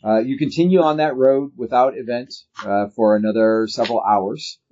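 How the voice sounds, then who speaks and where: A man's voice: medium pitch, fairly even intonation, moderate pace, mellow. A man narrating as game master of a tabletop role-playing game, explaining things conversationally and off the cuff. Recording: dull lo-fi recording with faint noise.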